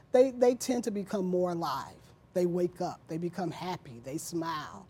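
A middle-aged woman speaks as an interview guest with a husky, slightly low voice. Her tone is dark and mellow, her delivery measured and earnest as she explains.

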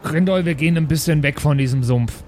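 A man's voice: on the high side, brisk, darkish tone, soft background music, natural voice. A man playing a cautious adventurer in a casual tabletop role-play. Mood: wary.

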